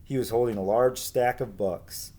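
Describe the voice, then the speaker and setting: A man reading a children's book aloud as a teacher would, in an expressive storytelling style with emphatic dialogue. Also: mid-range voice, normal speed.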